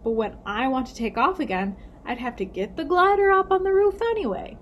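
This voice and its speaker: Lady doing a carefree pilot guy in a kids story, jaunty, kinda high voice. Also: expressive, a little fast, dull audio, a little hiss or hum. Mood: unbothered. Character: carefree daredevil young male glider pilot, jaunty mailman.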